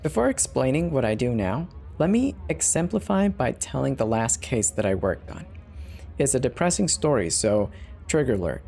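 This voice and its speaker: A man's voice: medium pitch, big pitch swings, normal speed, gravelly, warm tone. A man narrating a horror story as a dramatic scripted reading. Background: background music.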